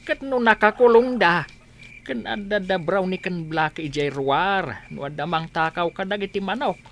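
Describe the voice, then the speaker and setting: Old village father, grumbling, low-pitched, plain-spoken, radio drama, noticeable background noise. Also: moderate pace, a bit bright. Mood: practical. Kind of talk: Ilocano radio comedy drama acting.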